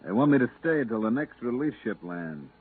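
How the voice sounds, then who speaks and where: A man's voice: mid-range voice, sing-song, fairly quick, breathy edge. An actor playing a weary, bitterly sarcastic man stuck at a moon base, curt and grumbling, in 1950s radio drama style. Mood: sour, sarcastic. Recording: tinny 1950s radio recording, faint music.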